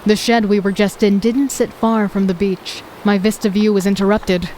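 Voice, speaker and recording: A woman's voice: medium pitch, level tone, moderate pace, dark tone, a little hiss or hum. A woman narrating a survival audio drama in the first person with a dry, sardonic and commanding manner. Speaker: narrator and lead character. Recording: background music.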